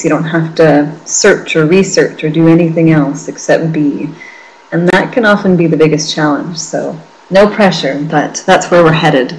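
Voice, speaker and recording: A woman leading a guided meditation as a teacher, speaking in a gentle, reassuring way with lingering phrases. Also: low-pitched, poor audio.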